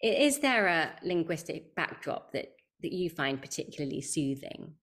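A woman's voice: low voice, very animated, moderate pace, fairly bright tone. A woman hosting a podcast interview, asking curious, reflective questions.

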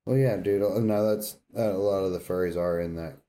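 A man's voice: sits low, fairly quick, warm tone. A man co-hosting a podcast with casual, slangy, laid-back banter. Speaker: podcast co-host.

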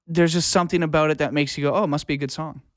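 A man's voice: a little high, fairly even intonation, racing, dry recording, clean studio sound. A man speaking as a music producer in a casual interview, explaining reflectively in plain terms and often checking in with the listener. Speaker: music producer and audio engineer, podcast guest.

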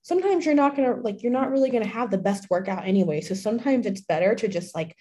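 A young woman hosting a fitness podcast alone, chatty and full of fillers, her voice slightly hoarse from being sick. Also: a little high, lively intonation, quick pace, warm tone. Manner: chatty, many fillers, laughs often. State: slightly hoarse from a recent illness. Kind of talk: casual solo podcast talk.

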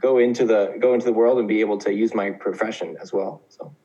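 A man's voice: on the low side, steady tone, fast talker, dark tone, dull audio. A man in his thirties from Oklahoma, a teacher, talking casually as a guest in an interview, with many fillers and restarts. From Oklahoma, USA. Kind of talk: conversational interview.

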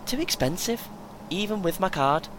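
A man playing a chatty, excitable, over-prepared first-time runner in an audio play, speaking in clipped short phrases. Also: high voice, normal speed. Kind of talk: naturalistic audio play acting. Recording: clear background noise, vehicle ambience.

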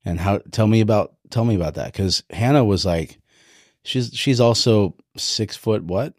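A man's voice: fairly low pitch, normal speed, a little mellow, no room sound, studio quality. A man hosting a podcast, chatting with a friend and prompting him with easy questions. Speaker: podcast host. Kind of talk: casual interview chat.

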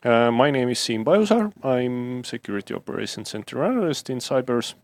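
A man co-hosting a podcast, speaking casually with frequent "uh" pauses. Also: mid-range voice, sing-song, a little mellow. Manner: hesitant, many filler pauses. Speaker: podcast co-host.

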